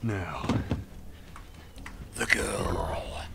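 Gravelly, menacing American survivor. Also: male voice, low voice, drawn-out words, very noisy, exaggerated voice. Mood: cold threat. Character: grim menacing middle-aged male survivor interrogating captives. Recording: game music behind.

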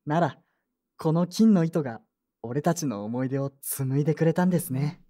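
A woman playing an earnest, polite young man in an audio drama, soft-spoken and sincere. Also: low voice, normal speed. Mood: moved, grateful. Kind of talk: Japanese audio drama acting and first-person narration.

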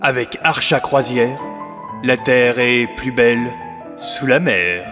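A man playing a bombastic announcer, theatrical and deliberate, delivering a dramatic script. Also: mid-range voice, close to an everyday voice. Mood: promotional. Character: bombastic male narrator and trailer announcer. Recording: long reverb under loud music.

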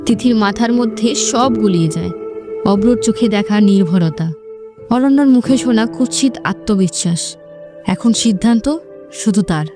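A woman's voice: mid-range voice, normal speed, music in the background. A woman narrator reading a scripted romantic story in a dramatic storytelling style.

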